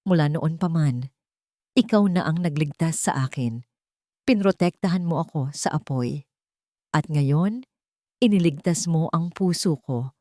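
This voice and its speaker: A woman's voice: low voice, lively intonation, unhurried, long pauses, dark tone, dry recording, studio quality. A woman voicing a tender young man who earnestly proposes marriage, his voice trembling with emotion, in a storybook romance.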